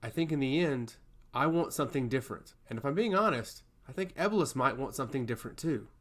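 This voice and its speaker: A man's voice: mid-range voice, animated, fast, lightly acted. A man playing a composed young princess in a fantasy audiobook, earnest and reflective. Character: thoughtful, composed young princess, calculating and sincere.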